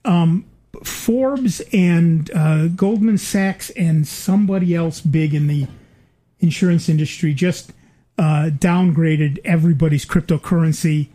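A man's voice: on the high side, a little slow, dark tone. Older guy chatting casually, lots of uh and um, wry little jokes, starts over a lot. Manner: rambling, many fillers and restarts, wry asides. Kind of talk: casual chat.

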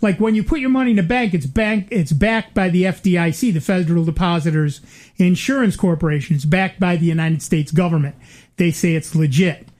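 An older man co-hosting a relaxed conversation. He wanders through his points with plenty of ums and uhs and restarts. He drops in dry, wry asides along the way. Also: sits high, brisk. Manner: rambling, many fillers and restarts, wry asides. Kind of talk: casual chat.

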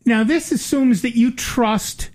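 A man's voice: very high voice, slow pace, a little mellow. An older man hosting a casual chat, rambling with many fillers and restarts and dry asides.

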